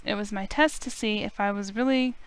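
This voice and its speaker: Casting: female streamer narrating a visual novel, clear smooth voice, mid pitch, expressive storytelling. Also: a little fast, no room sound.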